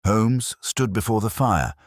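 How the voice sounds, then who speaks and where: A man's voice: fairly low pitch, unhurried, no echo, clean studio sound. A man narrating a classic detective story from a script in a dramatic, formal storytelling manner. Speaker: audiobook narrator, reading as a first-person storyteller.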